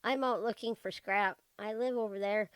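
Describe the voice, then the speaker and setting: A woman's voice: mid-range voice, fairly even intonation, normal speed, smooth, no echo, lightly acted. A woman playing a plucky, forthright young schoolgirl in an old-time radio drama. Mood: curious, forthright.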